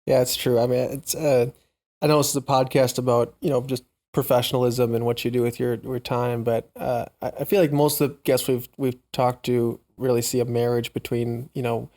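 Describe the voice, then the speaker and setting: Guy hosting a podcast, flat delivery w lots of vocal fry, thoughtful and halting, casual chat. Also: medium pitch. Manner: thoughtful, halting phrasing, frequent short affirmations. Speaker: podcast host. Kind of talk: casual reflective podcast conversation.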